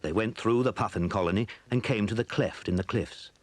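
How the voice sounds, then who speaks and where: A man's voice: on the low side, a little fast, no echo, natural voice. A man narrating a scripted children's adventure story as its narrator.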